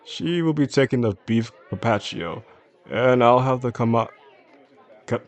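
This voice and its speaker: A man playing a charming, softly possessive boss in an intimate audio roleplay, speaking attentively and flirtatiously to the listener in an unhurried way. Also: mid-range voice, expressive, lightly acted. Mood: lighthearted, casual. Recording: faint restaurant crowd behind the voice.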